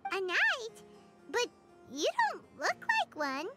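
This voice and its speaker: A woman voicing a tiny, excitable, childlike fairy companion in a video game, squeaky and quick to exclaim. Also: loud music behind the voice, full character voice. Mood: puzzled. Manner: exclaims, refers to self in third person.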